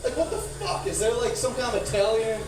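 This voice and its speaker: Rambling male comic with soft R sounds. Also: very high-pitched, lively intonation, fairly quick. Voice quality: speech impediment with soft R sounds. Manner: rambling, profane, self-deprecating. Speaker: stand-up comedian. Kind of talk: stand-up comedy at an open mic. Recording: echoey, noisy room, far from mic.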